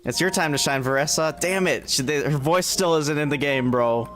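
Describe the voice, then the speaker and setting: A man's voice: a little high, normal speed, a bit bright. A man giving casual live reactions while streaming a game, slangy and prone to repeating himself. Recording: game music playing behind voice.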